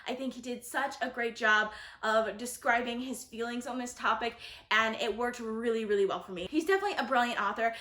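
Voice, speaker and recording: A woman with a high-pitched voice talks casually to her viewers in a roomy, echoey space. She reviews books in a gushing, enthusiastic way and puts strong emphasis on her opinions. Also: a little fast, sharp tone. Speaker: book reviewer, video host. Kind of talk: casual book-tag vlog talk to viewers. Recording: roomy echo.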